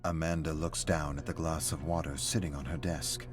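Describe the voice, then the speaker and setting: Very deep-voiced man narrating over music. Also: little pitch movement, normal speed. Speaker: reader of story entries. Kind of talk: scripted story narration.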